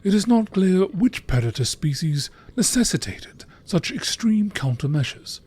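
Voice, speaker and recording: A man reading scripted narration in a measured, enunciated nature documentary style, with a husky voice. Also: high voice, big pitch swings, a little mellow. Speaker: narrator reading game lore entries.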